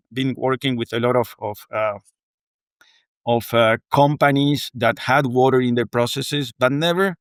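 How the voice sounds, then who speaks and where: A man's voice: mid-range voice, fairly bright tone, clean tone, dry recording, studio quality. A middle-aged man from Argentina, a native Spanish speaker with an Argentine accent, speaking earnestly and reflectively as an interview guest. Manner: earnest, reflective, frequent "you know". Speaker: guest, water technology executive. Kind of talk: conversational interview.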